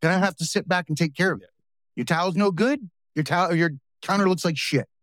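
A man hosting a podcast, talking casually and drawing out homey analogies, slowing down on the points he wants to stress. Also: sits high, a bit bright, dry recording. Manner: folksy, uses homey analogies, slows for emphasis. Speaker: podcast host. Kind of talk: casual interview talk.

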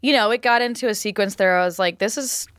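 Woman, mid-pitched, casual comedic chat. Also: fast, a bit bright, dry recording. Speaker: podcast panelist.

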